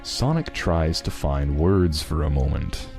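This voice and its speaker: A man's voice: a bass voice, expressive, measured pace. A man reading scripted story narration as the narrator of a fan fiction. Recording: music behind the voice.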